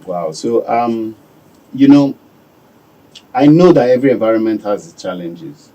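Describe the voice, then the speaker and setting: A Nigerian man giving calm, measured answers as a guest in a radio studio. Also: lowish voice, far from the mic, highs cut off. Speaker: business owner guest.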